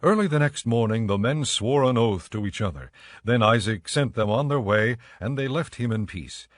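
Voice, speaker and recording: A man narrating scripture in a measured, formal, scripted style. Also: mid-range voice, lively intonation, no room sound, clean studio sound.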